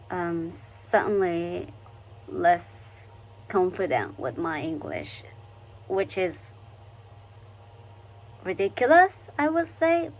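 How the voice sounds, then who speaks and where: Slow, soft-spoken Japanese-accented woman. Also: lowish voice, a little hiss or hum, poor audio. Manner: thoughtful, searching for words with many fillers and restarts. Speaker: vlogger. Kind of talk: reflective personal vlog talk. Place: living in London.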